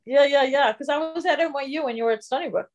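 A woman hosts an online session over a video call, chatty and quick to restart her sentences. Her voice sits a little high. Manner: chatty, laughs easily, restarts. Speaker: session host. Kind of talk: casual chat and speaker introduction.

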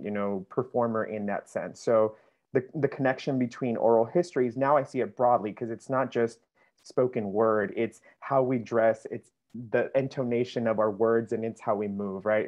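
A man's voice: mid-range voice, darkish tone. Earnest man on a muffled video call. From Mexico. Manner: earnest, repeats words while thinking. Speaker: interviewee, dancer. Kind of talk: reflective panel discussion.

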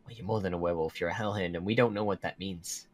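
A man's voice: sits low, steady tone, fast. A man playing an earnest, guilt-ridden young werewolf student, talking in a hesitant, conversational way in a game roleplay. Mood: serious, reassuring. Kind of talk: casual scripted Minecraft roleplay.

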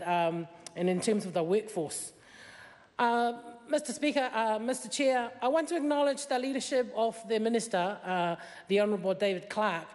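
A middle-aged woman whose first language is Tongan, giving an earnest parliamentary speech at a desk mic, with many fillers and rising emphasis. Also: high-pitched. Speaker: member of parliament. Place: large wood-panelled debating chamber. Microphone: desk microphone. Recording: long chamber reverb.

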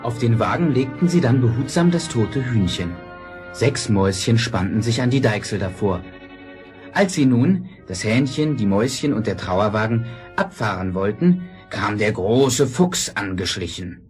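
A man's voice: fairly low pitch, expressive, normal speed, a little mellow, faint music behind. A man narrating a scripted fairy tale for children in an audio play.

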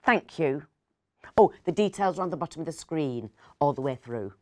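A woman in her fifties reading a story aloud dramatically, with pauses and hushed asides. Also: sits low, expressive, dry recording, studio quality. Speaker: actor reading a short story.

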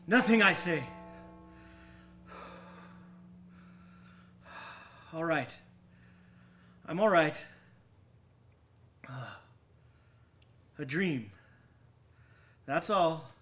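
A brooding, troubled character in a radio play. His voice sits a little high for a man. He speaks very slowly and haltingly, muttering as though to convince himself. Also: animated, sharp tone, full character voice. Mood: frightened, self-soothing. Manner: halting, muttering self-reassurance. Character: uneasy, brooding man haunted by shipwreck tales. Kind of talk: radio drama acting.

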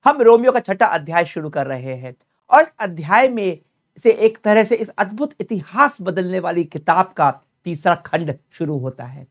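A man's voice: high voice, sing-song, moderate pace. An older man teaching a Bible study with a sore throat, earnest and explanatory, repeating key terms, speaking into a lapel mic in a study.

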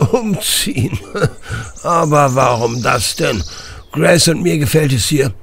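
A man playing a stubborn, wry old husband in an audio drama, with a gravelly voice. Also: on the high side, lively intonation, slow pace, fairly bright tone, a little hiss or hum, heavily acted. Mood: stubborn, dismissive. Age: older. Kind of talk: German audio drama acting.

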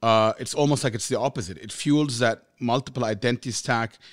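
A man's voice: mid-range voice, fairly quick. A man hosting an interview podcast, summarizing and affirming his guest's points. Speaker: podcast host.

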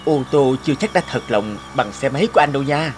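A man voicing a devoted, modest, gentle young boyfriend in a cartoon. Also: mid-range voice, animated, quick pace, a little hiss or hum, close to an everyday voice. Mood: tender reassurance. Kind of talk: cartoon dubbing.

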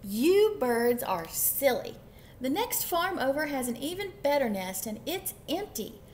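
A woman's voice: high voice, very animated, a little slow, darkish tone, slight background noise, voice pushed up. Scheming, emphatic tomcat acted by a woman. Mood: coaxing. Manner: urgent, emphatic calling out. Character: silly, scheming male cat, loudly persuasive. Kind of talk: children's picture-book character voice.

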